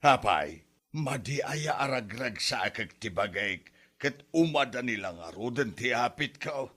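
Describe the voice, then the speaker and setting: A man's voice: mid-range voice, expressive, measured pace, fairly bright tone. A man playing a henpecked, exasperated elderly husband in an Ilocano comedy drama, whining and protesting in an Ilocano Filipino accent. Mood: aggrieved. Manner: whining, protesting, stammered yelps.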